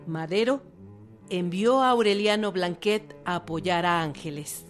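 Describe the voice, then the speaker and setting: A woman narrating a historical radio drama from a script in a formal, measured way. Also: fairly low pitch. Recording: narration over clear string music.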